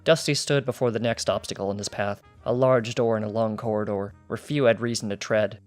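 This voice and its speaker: A man's voice: lowish voice, fairly even intonation, a little fast, soft background music. A man reading fan fiction as scripted storybook narration. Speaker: audiobook reader.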